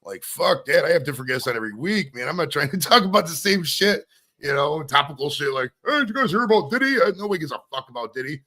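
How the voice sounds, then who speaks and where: A man's voice: fairly high pitch, expressive, fast, bright, forceful tone. A man hosting a live podcast interview, talking loosely and profanely in a rambling, casual way. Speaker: podcast host.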